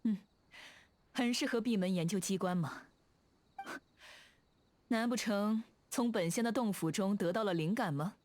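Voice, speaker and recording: A woman's voice: medium pitch, unhurried, pauses often, a little mellow, lightly acted. A woman voicing a proud, aloof immortal adept in a video game, with a composed, lofty manner. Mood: approving, amused. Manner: lofty, archaic self-reference, composed. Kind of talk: game character voice line. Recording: background music behind the voice. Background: music.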